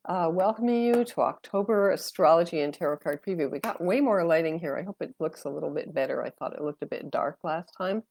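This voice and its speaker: A woman's voice: lowish voice, a little fast, few pauses, darkish tone. An older woman from Canada, stuffed up with a cold, chatting casually and rambling with many fillers as she hosts a live astrology and tarot stream from her phone.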